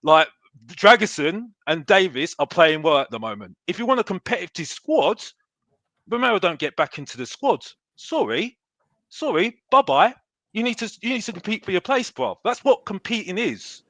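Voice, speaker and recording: A man, a fan pundit on a podcast, loud and repetitive, insisting on his point. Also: high-pitched, big pitch swings, normal speed, no echo. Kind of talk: heated fan podcast debate.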